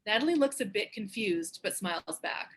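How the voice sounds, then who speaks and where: A woman's voice: medium pitch, expressive, moderate pace, fairly bright tone, husky. A woman reading screenplay stage directions as scripted narration.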